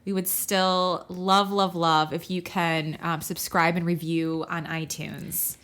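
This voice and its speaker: A woman's voice: lowish voice, flat delivery, slow pace, a bit bright. A woman co-hosting a podcast in casual comedic chat, with a playful, giggly manner. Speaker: podcast co-host.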